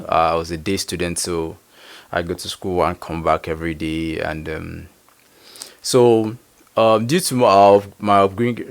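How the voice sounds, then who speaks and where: Man, low voice, smooth tone, halting casual speech, studio interview. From Nigeria. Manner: halting, searching, many fillers. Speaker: guest artist.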